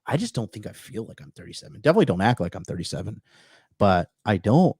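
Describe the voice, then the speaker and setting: A brash man in his thirties runs a casual livestream chat on a video call, swearing freely and rambling through fillers and restarts. His voice sits fairly low and the sound is dry and close, with no room echo behind it. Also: sing-song, fast, a little mellow, husky. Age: 30s. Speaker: podcast host.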